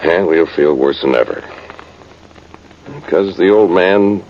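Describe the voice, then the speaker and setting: A man's voice: low voice, takes it slowly. An actor, a man, playing a folksy, rueful cavalry sergeant rambling through a radio drama monologue. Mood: wistful, homesick. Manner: folksy, ungrammatical, rambling soldier talk. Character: folksy, rueful old-time cavalry sergeant, a ghostly soldier. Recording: muffled, hissy vintage radio recording.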